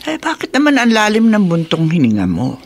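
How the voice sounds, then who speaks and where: An animated, caring old grandmother. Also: mid-range voice, a little fast, a little hiss or hum. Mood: concerned, tender. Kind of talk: radio drama acting.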